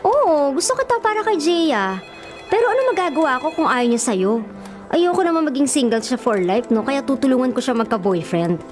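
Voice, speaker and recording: A chirpy young Filipina matchmaker with a high, swooping voice. She prods and teases in exclamations, in radio drama style over background music. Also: sing-song, normal speed. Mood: breezy, matter-of-fact. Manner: exclamatory, prodding, teasing. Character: chirpy, meddling young matchmaking best friend. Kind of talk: Tagalog radio drama acting.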